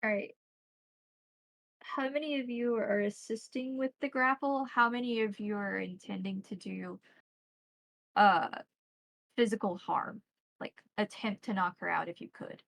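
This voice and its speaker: A woman's voice: mid-range voice, fairly even intonation, a little slow, gravelly, pauses often, fairly bright tone, no echo. A woman narrating a tabletop roleplay and making rules calls as game master.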